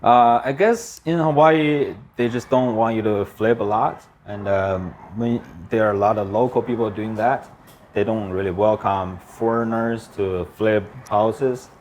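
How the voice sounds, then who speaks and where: A man from China talks in English, his second language, with a Chinese accent. His voice sits a little low, and his phrases come in short, simple pieces with frequent pauses and fillers. He sounds like a guest in a relaxed interview. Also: a little hiss or hum. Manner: plain, slightly broken English with frequent "uh" and "you know". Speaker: guest, land investor. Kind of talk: casual interview.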